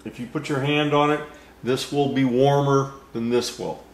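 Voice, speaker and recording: An older man explaining a technical diagram in an unscripted lesson, slowly and haltingly, with many fillers and restarts. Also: mid-range voice, fairly even intonation. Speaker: HVAC instructor. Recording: roomy echo.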